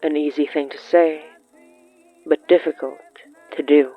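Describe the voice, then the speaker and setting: A narrator telling a scripted literary horror story in a measured way, with dramatic pauses between short phrases. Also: medium pitch, steady tone, muffled recording, soft background music. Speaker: narrator of a horror fiction podcast.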